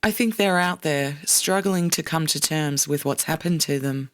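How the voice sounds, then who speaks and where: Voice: medium pitch, normal speed, a bit bright, clean tone, no echo. Serious narrator, dry studio sound. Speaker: podcast narrator. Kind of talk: scripted documentary narration. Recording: dry studio voice with faint music.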